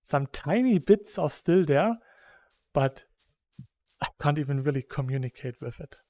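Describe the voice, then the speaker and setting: A German man in his thirties with a German accent talks through a game in a relaxed, rambling way. His voice sits a bit high and his pace is unhurried. The sound is dry and close. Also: no echo. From Saxony, Germany. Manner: rambling and chatty, laughs between thoughts. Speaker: gaming YouTuber. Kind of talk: casual chat while playing a game.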